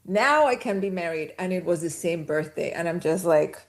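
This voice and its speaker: A woman's voice: on the low side, lively intonation, normal speed. A woman from Chile, a podcast guest, chatting casually and telling personal stories with laughs mixed in. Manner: chatty, storytelling, laughs mid-sentence. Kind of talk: casual conversation.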